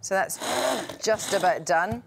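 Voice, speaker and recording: A middle-aged woman news presenter with a brisk, wry manner, talking while she cooks on air in a TV studio. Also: fairly low pitch, noisy background. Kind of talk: light-hearted live cooking segment. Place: TV studio with a cooking set.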